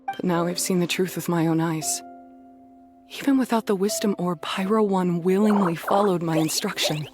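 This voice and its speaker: Casting: woman as proud, earnest young beast tamer, lowish measured voice, formal game dialogue. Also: music in the background, natural voice. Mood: remorseful. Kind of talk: video game voice acting.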